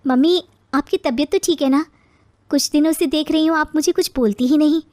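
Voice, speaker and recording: A woman voicing a patient, gentle young daughter-in-law in a moral story, speaking earnestly and respectfully. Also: sits high, fairly quick, no echo, voice pushed up, close to an everyday voice. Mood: concerned. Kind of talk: acted moral story dialogue.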